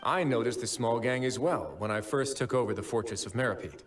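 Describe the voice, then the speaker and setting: A man voicing a composed, shrewd, gentlemanly fortress overseer in a video game, articulate and deliberate. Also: mid-range voice, close to an everyday voice. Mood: thoughtful.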